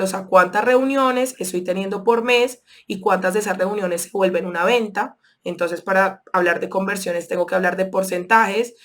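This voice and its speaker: Colombian woman teaching a sales lesson. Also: mid-range voice. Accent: Colombian Spanish. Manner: explanatory, walks through examples step by step with many fillers. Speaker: sales instructor. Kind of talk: online course lesson.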